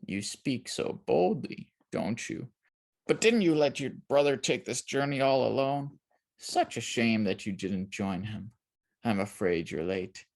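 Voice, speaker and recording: Storybook villain: sinister old man acted by a narrator, drawling, mocking, accusing, gravelly edge. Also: medium pitch, expressive, a little slow, a little mellow. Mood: sneering. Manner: mocking, taunting with tag questions. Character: sinister sneering old man, shadowy accusing spirit of shame.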